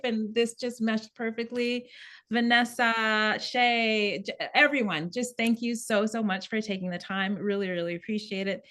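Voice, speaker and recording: A woman's voice: on the high side, a bit bright. A woman hosting an informal script table read, addressing the group conversationally.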